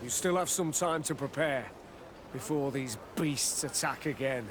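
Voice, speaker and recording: A man's voice: mid-range voice, unhurried, noisy background, natural voice. A man voicing a dutiful, worried military officer of a besieged outpost in a video game, earnest in manner. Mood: anxious, urgent. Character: worried male outpost officer, dutiful soldier under siege. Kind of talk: video game cutscene acting.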